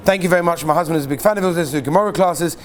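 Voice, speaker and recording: A man, a rabbi, reading listeners' stories aloud to his class in an animated storytelling way, sometimes asking the audience questions. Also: fairly high pitch, very fast, a little hiss or hum.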